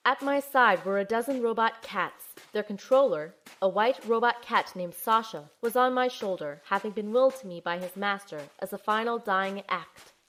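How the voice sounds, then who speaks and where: A woman's voice: mid-range voice, normal speed, hardly any pauses, lightly acted. A woman playing an earnest, determined young operative, telling and acting out her mission expressively in an audio drama. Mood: reflective, suspenseful. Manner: expressive, storytelling. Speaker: narrator and lead character.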